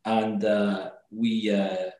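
A man's voice: lowish voice, little pitch movement, extremely slow, darkish tone. Glaswegian man in a very echoey room. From Scotland. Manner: thoughtful, restarts words often. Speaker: guest, company executive. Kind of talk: remote interview.

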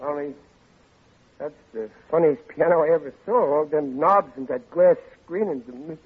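An American actor playing a stubborn, soft-hearted middle-aged family man who stammers ungrammatically in a 1940s radio comedy. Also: a little high, normal speed, husky. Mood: putting on cheer. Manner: ungrammatical, stammering, exasperated bluster. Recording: dull vintage radio recording.

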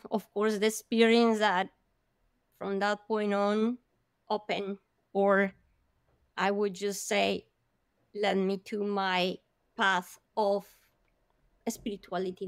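A woman recounts her childhood as an interview guest, animated and expressive. Her voice sits at an ordinary pitch for a woman. The sound is dry and close. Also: clean tone, no echo. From Colombia. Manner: animated, vivid storyteller with gasps and laughs. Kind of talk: storytelling in an interview.

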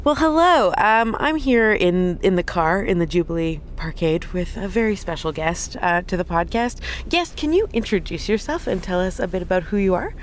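A woman hosting a podcast from a parked car, chatting casually in a relaxed, hesitant way with a lot of laughter. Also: lowish voice, moderate pace, few pauses. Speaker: podcast host. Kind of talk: casual chat.

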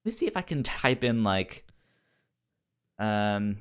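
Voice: medium pitch, very animated, unhurried, smooth. A podcast co-host chatting casually about comics, with a stammer that often repeats sounds and words.

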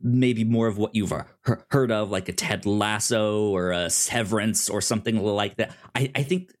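A man co-hosting a casual review podcast, halting and stammering as he repeats words while he talks. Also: fairly low pitch. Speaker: podcast co-host reviewing a TV show.

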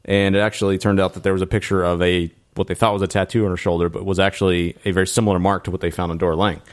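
A man hosting a podcast, recapping in a casual, halting way as he talks. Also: deep voice, level tone. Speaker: podcast host recapping TV episodes.

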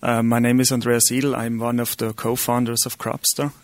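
Halting Austrian man giving a lecture. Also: medium pitch, level tone, dry recording. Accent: Austrian German. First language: German. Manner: halting, many ums and restarts. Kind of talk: live conference lecture.

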